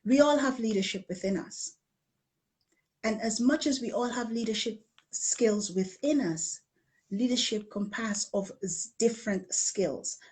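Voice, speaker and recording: A middle-aged woman from Guyana who has lived in the UK, presenting a coaching talk in deliberate phrases, repeating words for emphasis. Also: on the high side, darkish tone, low-quality recording. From Guyana, later living in the UK. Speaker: leadership coach presenting a challenge. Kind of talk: live online coaching talk from slides.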